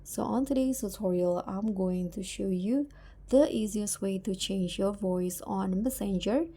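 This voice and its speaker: A woman hosting a step-by-step app tutorial, talking in a halting, instructional way. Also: mid-range voice, dark tone, husky. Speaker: tutorial host.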